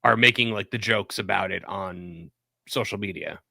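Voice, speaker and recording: A man's voice: on the low side, normal speed, vocal fry, bright, forceful tone. A man chatting casually as a panelist on a commentary show. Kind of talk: casual chat.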